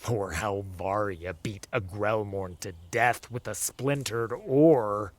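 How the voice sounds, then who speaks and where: A man narrating a fantasy audiobook in a theatrical, measured storytelling style. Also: fairly low pitch, no room sound. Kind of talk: dramatic scripted fantasy narration.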